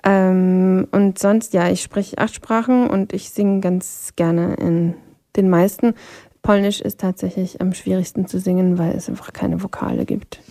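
A woman from Vienna with Polish as her first language, with a gravelly, mellow voice and a fairly flat melody. She talks as a guest singer, pausing and hunting for the right word. The sound is dry and close. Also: lowish voice, no room sound. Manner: thoughtful, pauses to search for words. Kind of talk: interview conversation.

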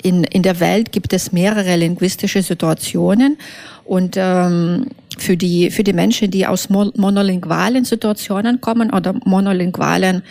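A woman from Belarus, a native Russian speaker, answering interview questions in halting, careful German, with many fillers and self-corrections. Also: fairly low pitch, fairly even intonation, creaky, darkish tone, no room sound. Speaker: author being interviewed.

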